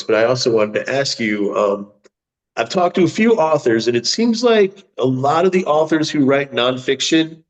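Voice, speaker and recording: A man's voice: mid-range voice, highs cut off. A man hosting a podcast, asking friendly questions and adding brief affirmations. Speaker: podcast host. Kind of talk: casual interview.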